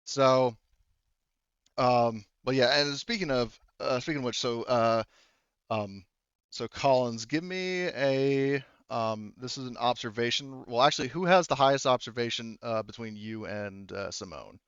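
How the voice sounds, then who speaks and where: A man running a tabletop roleplaying game as game master, improvising narration and explaining rules in a halting way, thinking aloud. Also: mid-range voice, a bit bright, smooth, no room sound, muffled recording.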